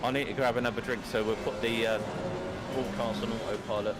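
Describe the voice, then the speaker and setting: A Cockney man in his fifties from East London, commentating a sim race live in a chatty, excitable way, swearing casually. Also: mid-range voice, a little fast, very noisy. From East London, England. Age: 50s. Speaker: race broadcast commentator and streamer.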